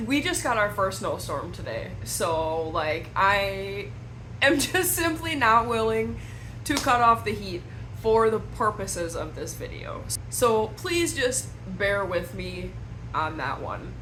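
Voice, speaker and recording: A young woman from Wisconsin, slightly hoarse from a cold, chatting to camera at home in a rambling, self-deprecating way as a beauty vlogger. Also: medium pitch, lively intonation, slow pace, fairly bright tone, slight background noise, low-quality recording. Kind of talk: casual chat to camera. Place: at home indoors.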